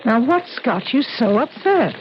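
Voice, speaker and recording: An actress playing a calm, puzzled middle-aged mother in an old-time radio mystery drama. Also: fairly high pitch, a little slow. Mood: motherly, composed. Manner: motherly, composed, questioning. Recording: dull, noisy vintage radio broadcast.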